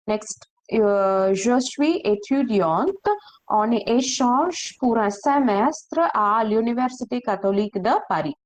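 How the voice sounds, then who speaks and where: A woman teaching a French class, slowly repeating phrases and checking that students follow. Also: a little high, rough recording. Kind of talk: online language class.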